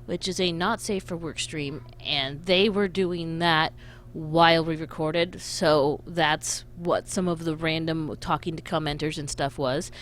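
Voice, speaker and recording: A woman's voice: deep voice, steady tone, a little slow. A woman chatting casually as a streamer on a headset mic, with a giggly manner and crude, joking banter. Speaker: streamer, co-host. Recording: faint background noise.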